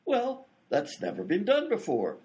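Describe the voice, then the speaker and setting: A lively, slightly high-pitched vaudeville manager played by a man, husky and wisecracking. He gets flustered and talks in wry banter, like an old radio comedy act. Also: heavily acted. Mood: flustered, scheming. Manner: wry, fast-talking banter.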